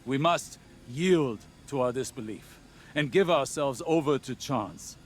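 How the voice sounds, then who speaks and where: An actor playing an earnest, scholarly older male warlock in a video game, speaking in a deliberate, philosophical way. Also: mid-range voice, slight background noise, natural voice. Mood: earnest, reflective. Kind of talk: dramatic video game dialogue.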